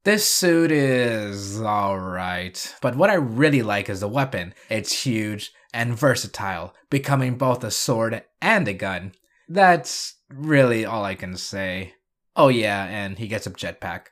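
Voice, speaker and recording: A man's voice: mid-range voice, fairly bright tone. Man narrating with dry sarcasm. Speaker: video essay narrator. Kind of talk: scripted review and recap narration.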